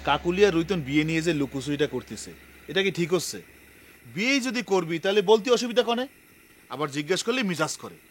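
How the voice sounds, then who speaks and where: A man's voice: on the high side, lively intonation, quick pace, faint music behind, close to an everyday voice. An actor playing a nosy, grumbling young village man in a comedy drama, needling. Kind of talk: Bengali TV comedy drama acting.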